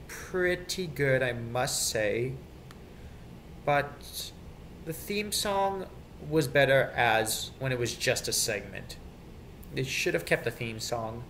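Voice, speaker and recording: A man's voice: fairly high pitch, expressive, slow pace, a bit bright. A man rambling casually and opinionatedly in an off-the-cuff video rant, often repeating himself. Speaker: video creator. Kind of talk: casual off-the-cuff rant about children's TV theme songs. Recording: some background noise, amateur home recording.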